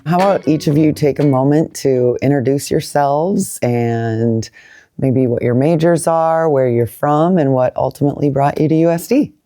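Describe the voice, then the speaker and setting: A woman's voice: sits low, normal speed, dark tone, dry recording, faint music behind. A woman who is a university president interviewing student leaders, encouraging them and often repeating words as she frames her questions. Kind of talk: campus interview.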